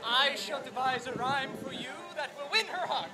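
A woman's voice: high voice, normal speed, natural voice. A woman playing a lovesick young male poet, declaiming in florid, overblown phrases. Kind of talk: outdoor commedia dell'arte street show. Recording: crowd noise outdoors.

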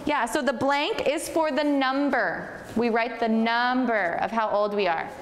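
Slow-speaking young woman teacher, echoey classroom. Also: a little high. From United States. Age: 20s. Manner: patient, encouraging, repeats and praises often. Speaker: English teacher. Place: classroom in front of a whiteboard. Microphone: far camera. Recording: echoey room with long reverb and noise.

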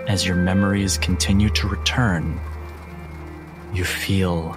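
Slow, low-pitched man narrating, very even. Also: over music. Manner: slow, deliberate, dramatic pauses. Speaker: game master. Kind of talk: atmospheric second-person narration of a tabletop game.

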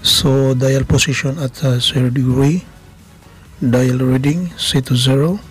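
A man giving step-by-step instructional narration in short, halting phrases. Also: medium pitch, fairly even intonation. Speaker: machining instructor. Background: background music.

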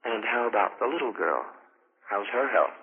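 A man's voice: lowish voice, animated, normal speed, slightly rough. A man interviewing for 1940s radio, asking short simple questions and repeating answers back. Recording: narrow, hissy vintage 1940s radio recording.

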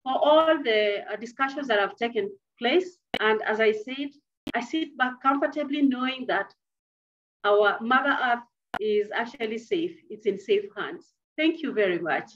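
A middle-aged woman giving an emphatic, deliberate motivational address over a video call from a small office. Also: fairly high pitch, fairly bright tone. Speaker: speaker addressing youth at a conservation dialogue. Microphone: computer mic on a video call. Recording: dull, lo-fi video call audio.

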